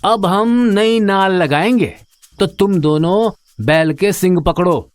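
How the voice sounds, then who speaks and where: A man playing a stern but kindly village farmer father in a cartoon moral story, with an expressive rustic drawl. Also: sits high, slow, dry recording. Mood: instructive, patient. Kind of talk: animated moral story acting.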